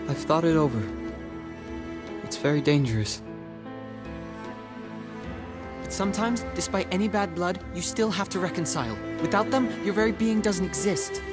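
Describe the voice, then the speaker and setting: Brooding, resolute brother, music behind. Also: male voice, high voice, lively intonation, brisk. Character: brooding estranged younger brother, resolute and melancholy. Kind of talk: dramatic video game voice acting.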